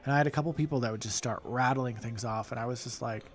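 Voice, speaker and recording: Man telling lively stories on a podcast. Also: medium pitch, quick pace. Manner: animated storytelling, laughs often. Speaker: podcast co-host and festival performer. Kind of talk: casual podcast chat.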